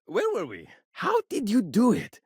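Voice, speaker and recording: A man voicing an eager, curious chief engineer of an aging colony starship in a video game, chatty and excitable. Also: sits high, unhurried, breathy edge, no room sound, studio quality, exaggerated voice. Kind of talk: video game character dialogue.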